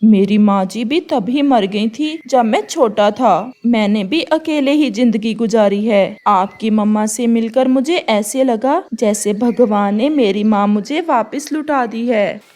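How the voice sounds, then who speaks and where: A woman's voice: fairly high pitch, a little slow, few pauses, a little mellow, natural voice. A woman voicing a polite, sentimental young male bird groom in a cartoon, earnest and courteous. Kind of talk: Hindi cartoon character dialogue.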